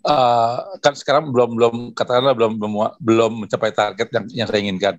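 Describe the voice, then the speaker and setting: A man's voice: medium pitch, little pitch movement, fast talker, no echo. A man with an Indonesian accent, a noodle seller taking part in a video call, asking a question hesitantly and searching for words. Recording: dull, dry call audio.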